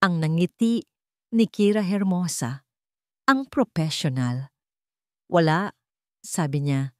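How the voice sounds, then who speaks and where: A woman's voice: lowish voice, animated, takes it slowly, dry recording, clean studio sound. A woman reading a scripted dramatic story as its narrator.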